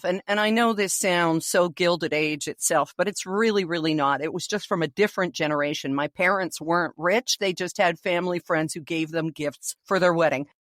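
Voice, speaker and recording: A middle-aged Canadian-accented woman with a lowish voice, recorded dry. She speaks as a podcast co-host, casual and conversational, with a reflective, wry touch. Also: dry recording. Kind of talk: casual chat.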